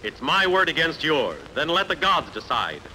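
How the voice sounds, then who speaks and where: A bold, wronged warrior hero, played by a man, declaims in a highish, very sharp and forceful voice. He is defiant and earnest, as in an old dubbed epic film, with faint noise on the soundtrack. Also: big pitch swings, normal speed, heavily acted, low-quality recording. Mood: earnest, resolute. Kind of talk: dubbed sword-and-sandal film dialogue.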